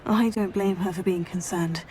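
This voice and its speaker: A woman playing a kind, supportive teenage schoolgirl witch in a video game, polite, measured and sympathetic. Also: fairly low pitch, fairly even intonation, darkish tone, slight background noise, close to an everyday voice. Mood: warm, curious. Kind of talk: video game character acting.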